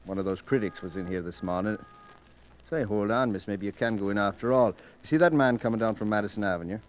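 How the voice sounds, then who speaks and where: Actor as a low-voiced, folksy doorman, old radio. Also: quick pace, close to an everyday voice. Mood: wry, gossipy. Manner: folksy, matter-of-fact. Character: plain-spoken, dismissive male gallery doorman. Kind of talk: 1940s radio drama acting. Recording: noisy 1940s radio recording.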